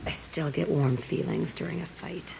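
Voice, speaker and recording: A woman's voice: low voice, moderate pace, vocal fry, slight background noise. An actress playing a tough, bitter young woman who talks bluntly and swears freely. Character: tough, profane young woman, a former test subject. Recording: reverberant with loud game music.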